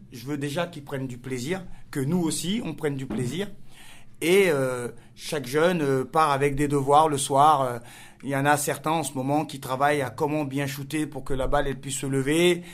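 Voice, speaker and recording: A man from France with a French accent, a soccer coach answering interview questions hesitantly, with many pauses and fillers. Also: a little high, a little hiss or hum.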